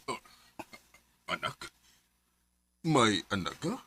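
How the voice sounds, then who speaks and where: Flustered middle-aged dad, radio drama: slow, stammering, varied pitch, noisy recording. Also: male voice, sing-song, exaggerated voice. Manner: halting, stammering. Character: Mario, flustered middle-aged father caught in an affair. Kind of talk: Philippine radio drama acting.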